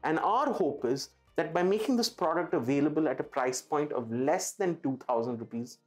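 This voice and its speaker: A man's voice: on the high side, animated, moderate pace. A man presenting a product for his company in an emphatic way, stressing key words by repeating them. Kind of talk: product pitch to camera. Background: background music.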